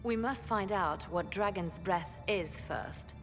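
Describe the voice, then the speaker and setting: An actress playing a poised, serious female companion who speaks formally and in measured phrases in a video game. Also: medium pitch, soft background music, close to an everyday voice. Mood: pensive, determined. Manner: formal, measured, avoids contractions. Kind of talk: dramatic video game dialogue.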